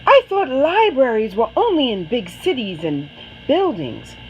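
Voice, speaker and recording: An eager, curious little girl from a storybook, acted out by a woman reader. Her voice sits high and swings up and down with lively feeling, over faint background noise. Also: a little slow, dull audio. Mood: puzzled, curious. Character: eager, curious little village girl who loves books.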